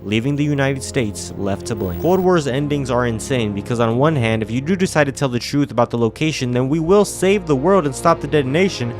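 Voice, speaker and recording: A young man narrates a video game's story with casual, wry commentary. His voice is mid-pitched and relaxed. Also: a little fast, few pauses, soft background music.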